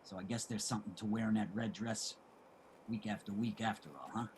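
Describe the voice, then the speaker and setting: A man playing a streetwise homeless man in a play reading, generous and opinionated, with salty, emphatic street talk and a husky voice. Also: lowish voice, fairly even intonation, a little fast, a little hiss or hum, close to an everyday voice. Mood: scolding, gossipy. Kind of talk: dramatic table reading of a stage play.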